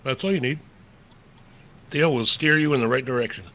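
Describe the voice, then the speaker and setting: A man's voice: mid-range voice, moderate pace, a bit bright. A man co-hosting a podcast, telling a rambling anecdote in a loose, slangy way. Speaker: podcast co-host. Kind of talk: casual storytelling chat.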